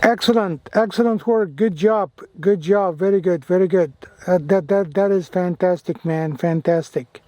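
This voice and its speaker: Male radio hobby vlogger, fairly high but mellow voice, casual and effusive, repeats phrases. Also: normal speed, few pauses. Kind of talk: casual radio chat and hands-on commentary.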